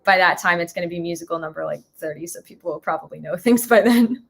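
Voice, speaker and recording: A woman's voice: fairly low pitch, fast, creaky. A young woman guest in a casual chat, telling informal, rambling stories full of "like". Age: young adult. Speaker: guest, writer-creator.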